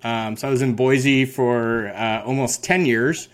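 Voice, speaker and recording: A man in his forties from Colorado, a podcast guest telling his story in relaxed, casual chat. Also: mid-range voice, measured pace. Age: 40s. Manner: relaxed, many "uh" fillers, self-deprecating.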